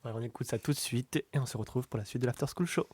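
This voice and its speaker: A man hosting a talk show, enthusiastic but halting, with many fillers. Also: medium pitch, very noisy. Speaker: host. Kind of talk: casual chat.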